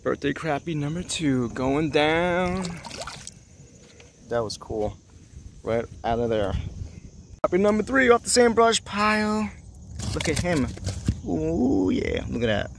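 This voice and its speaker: A man's voice: fairly high pitch, slow pace, vocal fry, pauses often. Chatty man fishing from a boat. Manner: excited, chatty, talks to the camera. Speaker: fishing vlogger. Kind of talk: casual narration while fishing. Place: small boat on a lake outdoors. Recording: faint outdoor noise.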